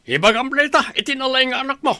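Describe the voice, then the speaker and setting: An exasperated aging Filipino village father, acted by a man for a radio drama. The voice is high, bright and very dry, and he grumbles and argues like a fed-up husband. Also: fast, no room sound, heavily acted. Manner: complaining, argumentative. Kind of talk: Ilocano radio drama acting.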